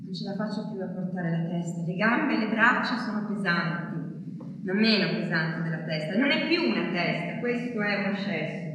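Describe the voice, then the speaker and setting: A bright, piercing voice rings from far off in a big echoing hall: an anguished, exhausted wife played by a stage actress. She delivers an intense, halting theatrical monologue, worn out by waiting. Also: mid-range voice, expressive, natural voice. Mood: tormented, brooding. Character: anguished exhausted woman waiting for her deported husband. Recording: echoey hall, far from the mic.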